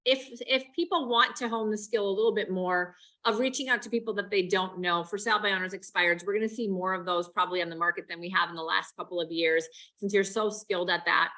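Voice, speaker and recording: Woman host, bright sharp voice, upbeat and asking big questions. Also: mid-range voice, fairly even intonation, quick pace, hardly any pauses. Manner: encouraging, asks long questions. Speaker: host and real estate coach. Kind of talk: conversational interview.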